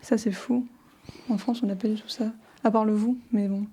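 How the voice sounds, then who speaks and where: A woman's voice: medium pitch, moderate pace, husky. A young woman asking curious questions in a casual chat. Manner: curious, questioning, even delivery.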